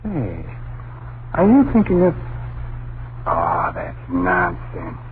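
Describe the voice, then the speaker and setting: An American actor playing a sleepy, dismissive husband and father in an old-time radio suspense drama, gruffly reassuring in slangy, drawn-out exasperation. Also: low voice, huge pitch swings, full character voice. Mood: exasperated. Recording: tinny, noisy old radio recording.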